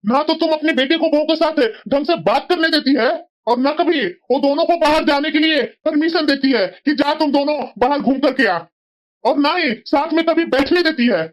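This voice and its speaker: A woman's voice: high voice, normal speed, highs cut off, natural voice. A woman voicing a sensible middle-aged husband in a family moral-story cartoon, scolding and lecturing reproachfully.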